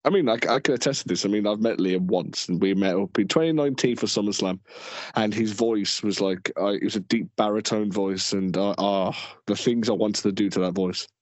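A young man co-hosting a podcast in casual chat, relaxed and hesitant, repeating words and filling pauses. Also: lowish voice, dry recording. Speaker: podcast co-host. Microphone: home mic without headphones.

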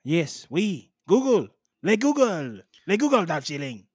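A man's voice: sits high, big pitch swings, unhurried, slightly rough, no echo, studio quality, exaggerated voice. Man putting on a pompous, theatrical Frenchman. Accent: French, put on. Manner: theatrical, self-satisfied, peppers speech with French words. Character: pompous, aggrieved French basketball star, male.

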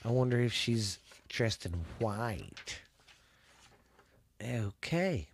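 A man's voice: lively intonation, drawn-out words, vocal fry. A man hosting a podcast in a casual, rambling way, his voice stuffy and congested from a sinus cold. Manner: rambling, drops to low spooky whispers. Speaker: podcast host.

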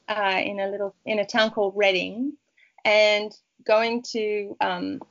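Rambling woman author, dull lo-fi sound. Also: mid-range voice. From Peru. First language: Spanish. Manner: rambling, warm, many restarts and fillers. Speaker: author guest. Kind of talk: casual interview chat.

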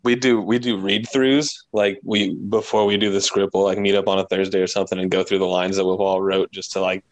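A man's voice: sits low, level tone, brisk, no room sound. A man, a podcast creator, chatting casually about his show. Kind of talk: casual chat.

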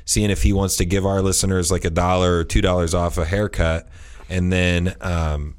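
A man's voice: low-pitched, little pitch movement, normal speed, clear, resonant tone. A thirty-year-old man, a podcast host, talking in a meandering, casual way with many restarts. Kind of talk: casual chat.

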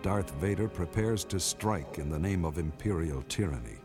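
A man's voice: sits low, lively intonation, normal speed, over music. A man playing a portentous narrator of a space saga, delivering dramatic scripted narration for a radio drama. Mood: grave, portentous.

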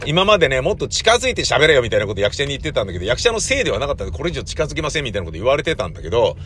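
A man's voice: medium pitch, wildly swooping pitch, very fast, fairly bright tone, a little hiss or hum. A middle-aged man in a casual, rambling solo chat, blunt and slangy, acting out his own reactions as he tells stories. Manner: blunt, slangy, self-deprecating. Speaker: audio drama producer and recordist.

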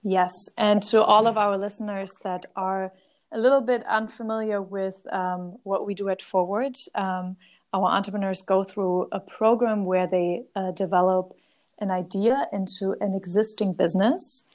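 A woman speaks as the host of an interview podcast. Her voice is of medium pitch, and her delivery is conversational, moving between explaining and asking. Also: fairly even intonation, normal speed, darkish tone.

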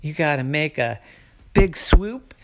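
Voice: medium pitch, lively intonation, slow. A storyteller voicing a group of boastful male hawks who tease and brag. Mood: smug. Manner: bragging, teasing.

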